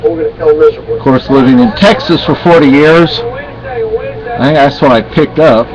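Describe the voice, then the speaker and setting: A man's voice: on the high side, lively intonation, slow pace, muffled recording, crowd noise. A middle-aged man from Spain chatting casually and rambling on a home vlog, often correcting himself. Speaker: vlogger. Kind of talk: casual chat.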